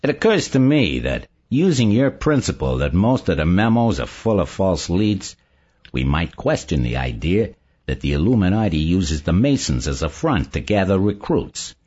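Male narrator as an eager, confident investigator in an audiobook, very deep and animated, emphatic delivery, lo-fi sound. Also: normal speed, slightly rough, heavily acted. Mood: reasoning, pleased. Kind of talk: audiobook character dialogue. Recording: dull lo-fi recording.

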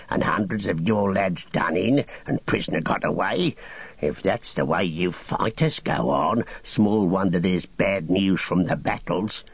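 A small, quarrelsome orc tracker snarls and whines, as a man voices him in a storybook reading. The voice is gravelly and husky, pitched a little above the narrator's own low tone. It sounds dull and dry, with no room around it. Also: no room sound, full character voice. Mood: sneering. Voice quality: snarling, nasal.

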